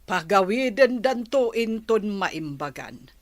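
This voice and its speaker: A woman's voice: mid-range voice, lively intonation, slow, dry recording. A woman playing a caring elderly grandmother in a radio drama, soothing and protective. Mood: stern. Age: older.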